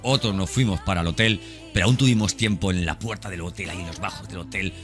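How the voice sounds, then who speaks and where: Deep, bright-voiced Spanish man podcasting. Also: fast, soft background music. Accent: Spanish, from Spain. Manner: conversational and enthusiastic, repeats words, seeks agreement with tag questions. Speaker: podcast host. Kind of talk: casual spoken podcast monologue.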